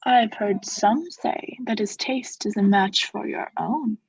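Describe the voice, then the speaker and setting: A young woman playing a coldly vengeful noble who feigns friendship, in a measured, formal, insinuating theatrical radio-play style. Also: medium pitch, vocal fry, husky, no echo, dull audio, full character voice. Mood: falsely solicitous. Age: young adult. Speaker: student actor.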